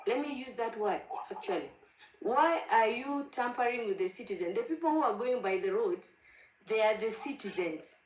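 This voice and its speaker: A woman's voice: a little high, expressive, moderate pace, roomy sound, rough recording. A woman from Ethiopia with a Kenyan accent, arguing insistently in a heated online debate. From Gambela, Ethiopia. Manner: passionate, insistent, repeats honestly. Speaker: panel participant.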